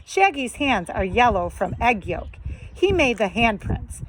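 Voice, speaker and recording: A woman's voice: on the high side, sing-song, a little slow, a little hiss or hum, rough recording. A woman as Velma, a brainy emphatic sleuth. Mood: explaining. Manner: explanatory, emphatic.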